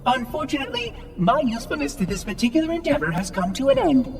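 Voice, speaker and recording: Fussy old machine caretaker from a game, super formal, lilting all over, kinda far off in a big hall. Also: male voice, very high voice, animated, quick pace, vocal fry, a little hiss or hum, full character voice. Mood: brisk, prim. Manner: prim, formal, theatrical. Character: fussy, officious floating ancient machine caretaker, cheerfully chilling. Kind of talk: video game cutscene acting.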